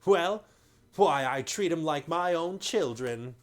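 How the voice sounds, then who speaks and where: A man's voice: fairly high pitch, lively intonation, takes it slowly, voice pushed up. A man voicing a wheedling, coarse male slave dealer in a read-aloud story. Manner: wheedling, cockney-ish slang.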